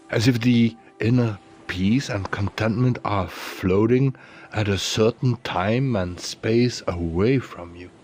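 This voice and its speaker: A man narrating a guided meditation in a soothing, deliberate way, leaving pauses between phrases. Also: fairly low pitch, a little mellow.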